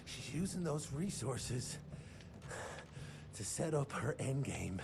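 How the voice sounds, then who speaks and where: An actor playing a shifty, cowardly, foul-mouthed vampire informant in a video game, with a jittery, stammering delivery. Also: male voice, mid-range voice, very animated, takes it slowly, vocal fry, noisy background, exaggerated voice. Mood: nervous, informing. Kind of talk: video game cinematic dialogue.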